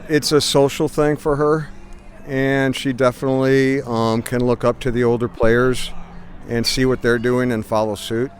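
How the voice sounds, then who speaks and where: An older man, a grandfather, talking in a relaxed, easygoing way at an outdoor soccer practice. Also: medium pitch, moderate pace, a little hiss or hum.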